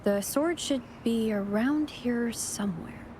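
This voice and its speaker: A woman's voice: a little high, slow, background noise. A woman playing a composed, capable young adventurer in an anime-style video game. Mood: calm.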